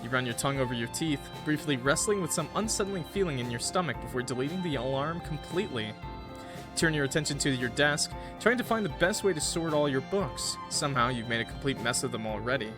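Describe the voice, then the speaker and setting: Male game streamer, mid-pitched, expressive read-aloud with joking casual asides, music underneath. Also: brisk. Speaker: gaming streamer. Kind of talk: reading a visual novel aloud with casual reactions. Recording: music behind the voice.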